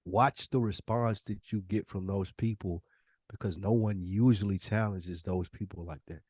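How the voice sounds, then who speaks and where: A low-voiced American man talks as a podcast co-host in a close, dry recording. He gives advice conversationally and leans on repeated phrases to drive his point home. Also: steady tone, normal speed, a little mellow, breathy edge, no room sound. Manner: emphatic, repeats phrases for weight.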